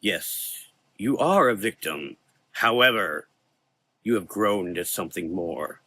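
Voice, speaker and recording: A man's voice: deep voice, animated, measured pace, bright, forceful tone, full character voice. A man voicing a grave, velvety supernatural reaper in a gothic horror audio drama, solemn and coaxing.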